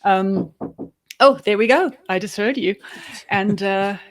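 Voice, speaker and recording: A woman's voice: mid-range voice, slow pace, rough recording. A woman in her fifties with a South African accent, co-hosting a podcast from her home office in a reflective, chatty way with many restarts. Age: 50s. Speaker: podcast co-host. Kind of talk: casual conversation.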